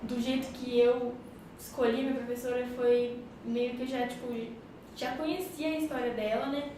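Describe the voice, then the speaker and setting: A high school student, a young woman, talking casually with many fillers. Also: steady tone, normal speed, dark tone. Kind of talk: documentary interview. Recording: very echoey, distant, noisy.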